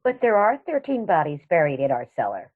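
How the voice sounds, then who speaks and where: A woman acts an elderly spinster aunt, firm and matter-of-fact, in a comedy for radio. The voice is husky and animated, in narrow, phone-like audio. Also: low voice, a little fast, exaggerated voice. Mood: insistent. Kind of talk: stage comedy acting for radio theatre. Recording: thin, phone-like narrow sound.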